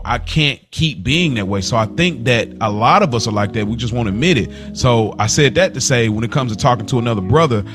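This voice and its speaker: Male podcast co-host, mid-pitched, informal and slangy, conversational, music under the voice. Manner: informal, slangy, repeats phrases for emphasis.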